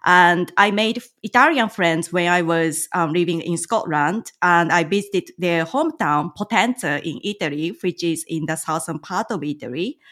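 A woman from Japan whose first language is Japanese, answering interview questions as a tour guide in careful, measured speech with hesitant pauses. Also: on the low side, fairly bright tone. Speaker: guest, licensed tour guide.

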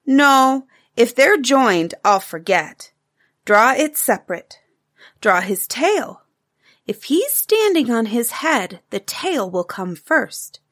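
An eager little cave girl, as a woman reader puts her on, chatters in a high, childlike voice. Her pitch jumps and swoops all through the storybook reading, bright with excitement. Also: takes it slowly, heavily acted.

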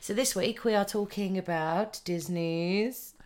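A woman's voice: medium pitch, measured pace, a little mellow. A woman hosting a podcast in a casual, chatty way. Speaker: podcast host.

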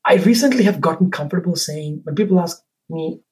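A man's voice: on the high side, expressive, brisk, a little mellow. A man from Sri Lanka, a guest and business owner, talking reflectively on a podcast, often checking in with "right?". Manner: reflective, rambling, tags with "right?". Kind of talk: casual conversation.

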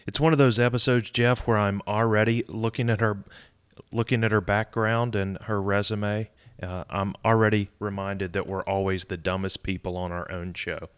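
A man's voice: lowish voice, steady tone, moderate pace, clean tone. A man co-hosting a podcast, easygoing and jokey. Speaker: podcast co-host. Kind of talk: casual chat.

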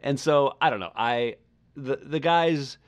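A man's voice: medium pitch, a little slow, clean tone. A man co-hosting a podcast, giving a casual review and searching for his words as he repeats phrases. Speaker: podcast co-host reviewing music. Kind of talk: casual conversational album review.

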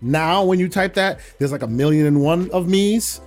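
Laid-back storytelling man, higher voice. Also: normal speed, soft background music. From Netherlands. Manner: relaxed storyteller, laughs often, repeats phrases. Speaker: podcast guest. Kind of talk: casual interview chat.

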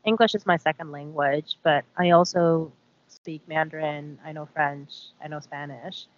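Woman, Korean native speaker, lower voice, reflective and hedging podcast co-host, dull lo-fi audio. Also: steady tone, moderate pace. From South Korea. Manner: thoughtful, hedging, lots of "I think" and "like". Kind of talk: casual podcast discussion. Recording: dull lo-fi sound.

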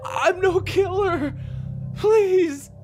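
A man's voice: extremely high pitch, very slow, faint music behind, full character voice. A man acting in an audio horror drama as a panicked, belligerent, cornered man who stammers. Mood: pleading.

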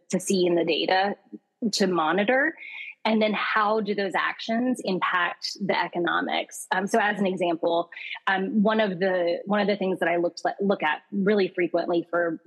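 Low, husky-voiced woman explaining. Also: low-quality recording. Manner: articulate and explanatory, thinks aloud with fillers. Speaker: podcast guest, customer experience expert. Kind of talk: interview podcast.

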